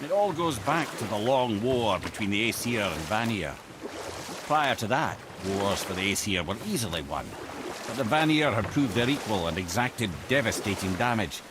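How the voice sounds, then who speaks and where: A man's voice: on the low side, noisy background, natural voice. An actor playing a witty old male advisor and storyteller in a video game, eloquent and wry in his wordy delivery. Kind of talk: video game cutscene acting. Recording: loud music behind the voice.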